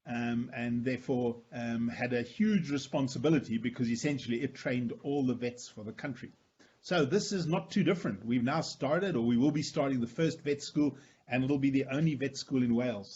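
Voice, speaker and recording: Brief: friendly professor with a blended Irish-South African accent, husky, over a lo-fi call. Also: male voice, medium pitch, lively intonation, moderate pace, a little mellow. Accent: Northern Irish, Irish and South African mix. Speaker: veterinary professor. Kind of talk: webinar presentation.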